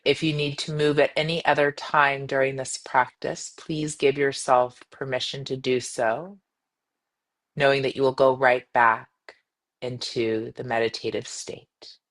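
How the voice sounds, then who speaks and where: Woman meditation guide: gentle, soothing delivery, measured phrasing, long pauses between instructions. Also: extremely low pitch, little pitch movement. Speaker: yoga nidra meditation guide.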